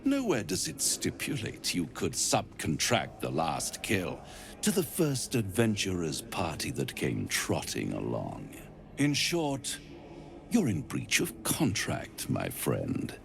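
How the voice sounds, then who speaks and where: An actor playing a suave, smug, silver-tongued devil in a fantasy game, pompous and florid, savouring each phrase. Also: male voice, low-pitched, huge pitch swings, slow, creaky, heavily acted. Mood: smug, mocking. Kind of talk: theatrical fantasy game acting, rhyming and grandiose.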